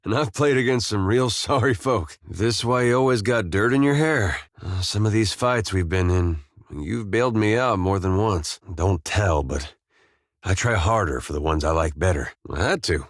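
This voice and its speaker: A man voicing an easygoing farmer turned adventurer in a video game, with a folksy, drawling, deadpan humour. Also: on the low side, moderate pace, no room sound, studio quality, close to an everyday voice. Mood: wry, easygoing. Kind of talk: video game companion dialogue and barks.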